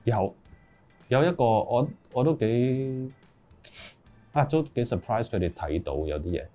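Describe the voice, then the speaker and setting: A man's voice: mid-range voice, sing-song, unhurried, dark tone, dull audio, soft background music. A middle-aged man with a Hong Kong accent chatting casually as an interview guest, in slangy speech sprinkled with English. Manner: informal, slangy, mixes in English words. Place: small room indoors, seated group.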